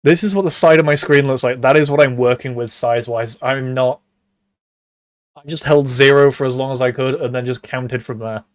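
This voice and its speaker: Casual male podcast host, mid-pitched, dry close sound, quick to giggle. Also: steady tone, dry recording. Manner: giggly, laughs often between words. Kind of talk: casual online chat. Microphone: computer mic on a voice call.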